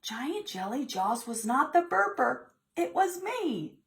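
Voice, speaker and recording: A woman's voice: sits high, big pitch swings, takes it slowly, vocal fry, pitched above the performer's own voice, full character voice. A woman voicing a timid little cabin boy from a pirate storybook in a small, earnest voice. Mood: earnest confession.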